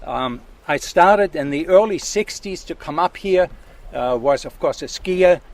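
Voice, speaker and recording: A man's voice: a little high, expressive. Older man with a German accent. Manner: halting, many fillers and restarts. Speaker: landowner. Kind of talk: casual on-site explanation. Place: outdoors in a forest.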